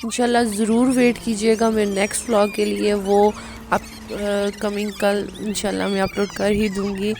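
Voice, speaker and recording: Hoarse woman vlogger, flat tone. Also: mid-range voice, normal speed, background noise. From Pakistan. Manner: chatty, addresses viewers directly. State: hoarse, sore throat. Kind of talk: casual vlog narration.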